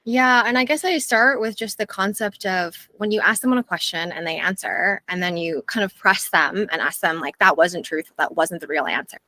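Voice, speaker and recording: A woman's voice: on the low side, normal speed, hardly any pauses, bright, forceful tone, dry recording. A young adult woman speaking as an interview guest, earnest and thoughtful, with frequent fillers. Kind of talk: reflective interview conversation.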